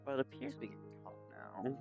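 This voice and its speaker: A man chatting casually and haltingly as he hosts a livestream, speaking into a headset mic. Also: mid-range voice, wildly swooping pitch, vocal fry, highs cut off, over music. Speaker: game streamer, host. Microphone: new headset microphone.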